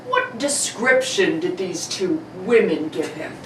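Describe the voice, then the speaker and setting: A woman playing a blustering, excitable police chief in an old-time radio play reading, theatrical and self-important. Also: lowish voice, a little slow. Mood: grave, indignant. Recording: echoey live room, distant mic, faint noise.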